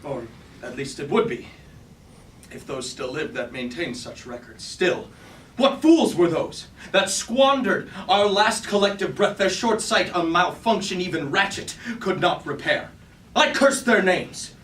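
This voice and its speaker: A man's voice: high-pitched, animated, measured pace, fairly bright tone. A man playing a proud, battle-weary robot lieutenant in a staged verse tragedy, declaiming in formal archaic language. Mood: grim, then bitter. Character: loyal robot warrior lieutenant, proud, battle-weary, devoted to his king. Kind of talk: staged reading of mock-classical verse tragedy. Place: indoor room at a staged reading. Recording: roomy, distant mic, faint noise.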